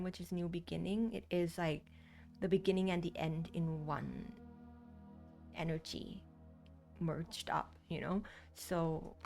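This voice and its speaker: Woman, Thai accent, unhurried, casual card reader, rambling with lots of fillers, faint music behind. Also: lowish voice, vocal fry. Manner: rambling, full of "like" and restarts. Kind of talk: casual pick-a-card reading.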